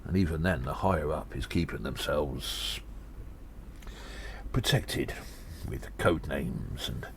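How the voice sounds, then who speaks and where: A very deep, slow-talking gnome sleuth, acted by a man, weary and grave as he ponders a conspiracy in an audio drama. He speaks in deliberate, halting phrases with sighing breaths, over faint background noise. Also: exaggerated voice. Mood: weary, musing. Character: weary gnome investigator reporting on a spy conspiracy.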